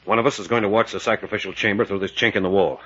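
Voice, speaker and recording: Forceful, raspy adventure captain, old radio. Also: male voice, lowish voice, steady tone, racing. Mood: steady, resolute. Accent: American. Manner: commanding, dramatic, clipped. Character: resolute, quick-thinking captain leading an adventure team. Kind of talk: 1940s radio adventure acting. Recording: muffled vintage 1940s radio recording.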